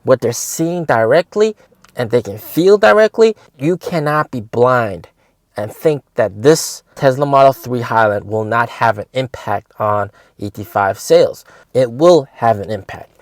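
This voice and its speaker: A man's voice: medium pitch, expressive, a little slow, dry recording, clean studio sound. A man hosting a casual car review vlog, talking to viewers in an emphatic way and repeating words for stress. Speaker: host of an electric car stock channel.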